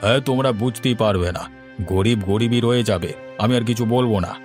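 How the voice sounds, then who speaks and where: A man's voice: mid-range voice, quick pace, a little mellow, close to an everyday voice. A man voicing a selfish, haughty rich village landlord in a cartoon, dismissive in manner. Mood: haughty, scornful. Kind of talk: cartoon dialogue, melodramatic. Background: faint background music.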